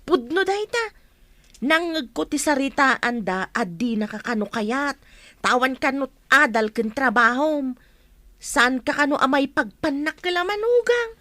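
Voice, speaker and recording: Nosy, high-pitched village gossip played by an actress. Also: female voice, lively intonation, unhurried, pushed and bright, dry recording, exaggerated voice. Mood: gossipy. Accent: Ilocano. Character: nosy gossiping village woman, an older neighbour. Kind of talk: Ilocano radio comedy drama acting.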